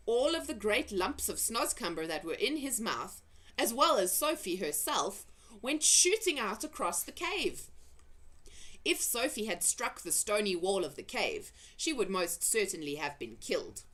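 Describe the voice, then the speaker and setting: A woman narrating a children's adventure story in an expressive read-aloud style. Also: mid-range voice, unhurried. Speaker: storybook reader.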